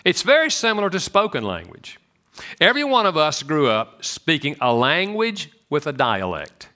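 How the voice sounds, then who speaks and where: A man with a Southern US accent giving an animated, joking church talk on marriage to an audience. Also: moderate pace. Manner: animated and joking, swoops in pitch. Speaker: speaker on marriage.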